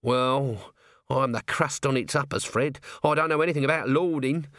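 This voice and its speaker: A man's voice: mid-range voice, steady tone, normal speed, fairly bright tone, no echo, clean studio sound, close to an everyday voice. A man voicing a scruffy small male corporal who moans and whines. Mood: woeful. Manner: moaning, whining.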